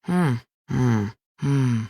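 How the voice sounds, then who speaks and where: A curious teenage boy adventurer from a video game, speaks in short, watchful callouts. His pacing is slow and measured, with a mid-pitched voice in a very dry, close studio sound. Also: lively intonation, vocal fry, dark tone, dry recording, full character voice. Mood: doubtful, musing.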